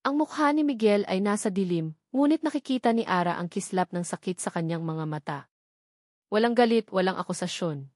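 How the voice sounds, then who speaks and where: A woman's voice: medium pitch, animated, fairly quick, a little mellow, no room sound. Woman narrating dramatically, dry close sound. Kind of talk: dramatic scripted romance story narration in Tagalog audio drama format.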